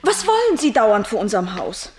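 A woman's voice: medium pitch, very animated, moderate pace, lightly acted. An actress playing an irritated, defensive village woman in a vintage radio crime drama. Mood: exasperated, defensive. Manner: exasperated, defensive. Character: irritated, fearful village woman questioned by police. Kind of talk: 1950s-60s German radio crime drama acting.

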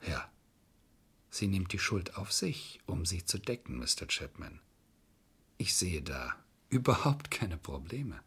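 An actor playing a shrewd, calculating lawyer in a German radio crime drama, insistent yet controlled and formally polite. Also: male voice, low-pitched, big pitch swings, takes it slowly, long pauses, a bit bright, husky, full character voice. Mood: cool, menacing. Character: shrewd, calculating male lawyer, coolly pressing a bargain.

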